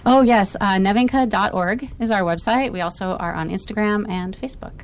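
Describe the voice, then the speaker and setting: A woman's voice: medium pitch, fairly quick, a little hiss or hum. A woman choir director, upbeat and a bit scattered as she chats. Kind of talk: casual chat.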